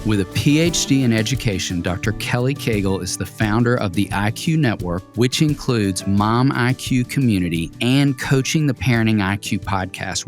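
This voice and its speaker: A man co-hosting a podcast who reads a guest introduction in a measured, scripted way. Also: lowish voice. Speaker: podcast co-host.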